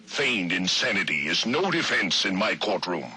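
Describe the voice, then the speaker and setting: A man's voice: low-pitched, very animated, normal speed, pushed and bright, slightly rough, heavily acted. A man voicing a pompous, merciless, corrupt judge in a video game audio log, theatrical and self-satisfied as he pontificates. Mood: indignant.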